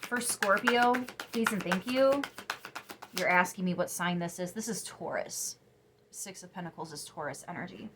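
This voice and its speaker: A young woman giving a casual tarot reading to camera, chatty and emphatic, sniffly after crying, her voice turning soft when she muses. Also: on the low side, normal speed, music in the background. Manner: chatty, emphatic, drops to soft musing asides. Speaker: tarot reader.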